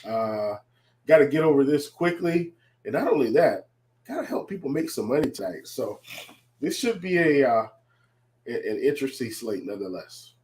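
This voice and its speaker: A man with a stuffy, congested voice from a cold, giving casual sports analysis with halting restarts. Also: mid-range voice, sing-song, darkish tone. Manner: halting, many restarts and fillers.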